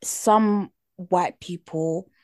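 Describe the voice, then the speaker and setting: A young woman with a British accent in casual podcast chat, earnest and animated, often checking "do you know what I mean". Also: lowish voice, extremely slow, dry recording, clean studio sound.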